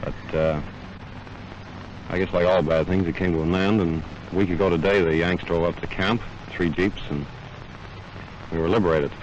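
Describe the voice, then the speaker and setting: A man's voice: sits low, fairly even intonation, moderate pace, long pauses. A man from Canada answering an interview in a hesitant, understated way, on a 1940s field recording. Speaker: war correspondent, freed prisoner of war. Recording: noisy, muffled 1940s field newsreel recording.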